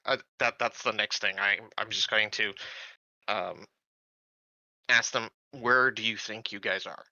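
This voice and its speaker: A man with a low yet very sharp, forceful voice plans his moves in a tabletop game. He talks casually and deliberately, with pauses, in a dry, slightly dull recording. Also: fairly even intonation, no echo. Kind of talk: casual in-game planning talk.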